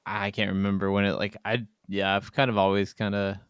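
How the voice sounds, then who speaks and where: Male co-host, clean resonant voice, dry recording, playful banter and quick reactions. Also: on the low side, completely flat delivery, clear, resonant tone, no room sound. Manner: playful, jokey interjections. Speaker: podcast co-host.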